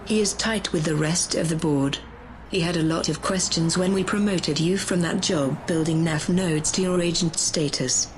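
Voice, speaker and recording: A woman's voice: fairly low pitch, steady tone, normal speed, background noise, close to an everyday voice. A woman playing a bossy, menacing, power-hungry conspirator in a sci-fi audio drama. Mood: scheming.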